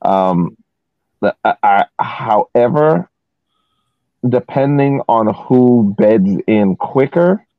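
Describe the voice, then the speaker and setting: A man co-hosting a sports podcast, giving casual analysis in measured, hesitant phrases over a thin phone-like connection. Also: medium pitch, animated, no echo.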